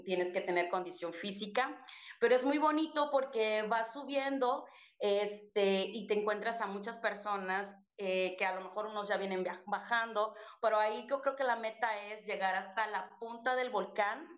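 A woman from Chiapas with a Mexican Spanish accent, telling stories in a chatty, warm way with many fillers. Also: medium pitch, reverberant. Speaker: podcast guest voice. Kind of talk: casual storytelling chat.

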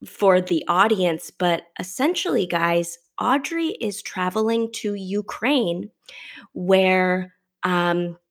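A woman hosting a podcast, effusive and enthusiastic, with many fillers as she leads the interview. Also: medium pitch. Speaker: podcast host. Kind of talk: conversational interview.

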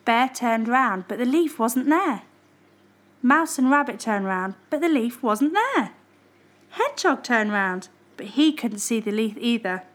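Woman, children's story narration, expressive, high-pitched, slow, deliberate.

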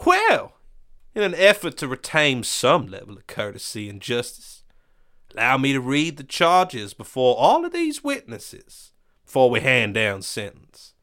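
High snooty western land baron, greedy, cruel, a coward underneath, storybook villain. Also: male voice, huge pitch swings, measured pace, sharp tone, exaggerated voice. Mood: mocking. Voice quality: haughty, showy speech.

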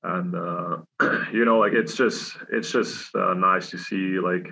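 A young man from Switzerland talks through his answers in a halting way, filling gaps with "like" and "you know." His voice sits very low with a gravelly edge and a bright, forceful tone that stays flat in pitch. The recording sounds dull and lo-fi. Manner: halting, many fillers and restarts. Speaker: hockey player. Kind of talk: casual interview answers.

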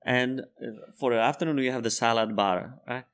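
A man in his thirties from the Czech Republic, a bartender guest in an interview, speaking English as a second language and telling animated stories with laughter. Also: medium pitch, moderate pace, clean tone. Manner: animated storyteller, laughs while telling anecdotes. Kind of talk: casual interview storytelling.

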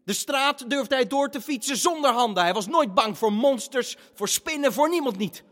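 An actor narrating a family radio play as an excitable storyteller, with dramatic, halting build-ups. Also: male voice, very high-pitched. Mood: boastful excitement. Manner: animated, halting build-ups with self-corrections. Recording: long hall reverb, music behind. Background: music.

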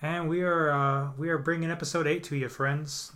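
A young man co-hosting a casual podcast, talking in a relaxed, hesitant way with lots of fillers and restarts. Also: a little high, moderate pace. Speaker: podcast co-host. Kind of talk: casual chat.

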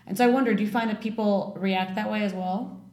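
A woman's voice: mid-range voice, steady tone, quick pace, dark tone. A woman hosting an interview, asking questions in a friendly, curious, chatty way. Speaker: interviewer, podcast host. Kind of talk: interview conversation. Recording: echoey room.

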